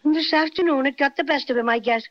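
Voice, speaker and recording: High-pitched little Irish teddy bear played by an actor. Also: fast talker, lightly acted. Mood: eager, helpful. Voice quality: high-pitched cartoonish character voice. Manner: chatty, playful, folksy. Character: tiny Irish teddy bear, friendly, proud of his growl. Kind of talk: 1930s radio acting. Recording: dull lo-fi 1930s radio recording.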